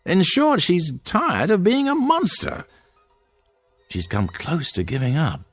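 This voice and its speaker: A patronizing doctor in a radio play. His pitch swings extremely widely as he lectures in a pompous, glib patter. Music sits behind the voice. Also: huge pitch swings, slow pace, no echo, full character voice. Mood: patronizing, lecturing. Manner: pompous, condescending, hesitating bureaucrat's patter. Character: smooth, patronizing male doctor, glib and theatrical. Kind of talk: radio drama acting.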